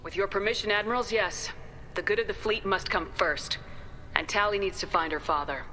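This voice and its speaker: A woman's voice: mid-range voice, brisk, bright, forceful tone, a little hiss or hum, natural voice, poor audio. A woman playing a resolute, diplomatic starship commander in a video game, speaking firmly and formally. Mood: firm, composed. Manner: firm, formal. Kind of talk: dramatic video game acting.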